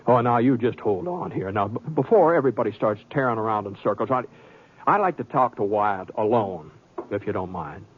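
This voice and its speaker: A thin, band-limited vintage radio western. An actor plays an honest gunslinger with a lowish male voice, flustered and indignant. He stammers and hesitates in a folksy drawl. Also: expressive, a little fast, muffled recording, slight background noise, natural voice. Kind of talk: 1950s radio western acting.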